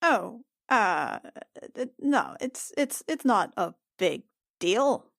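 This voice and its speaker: A woman's voice: fairly high pitch, big pitch swings, vocal fry, a bit bright, no room sound, full character voice. Woman playing an awkward, wry young adventurer, dry close sound. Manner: hesitant, halting, colloquial.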